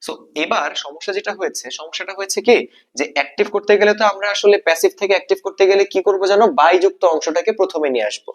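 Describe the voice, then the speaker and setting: Bangladeshi male teacher, slightly high-pitched voice. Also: rapid-fire. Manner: questioning, repeats key points, mixes in English terms. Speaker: English grammar teacher. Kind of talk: exam-prep grammar lesson, explaining step by step.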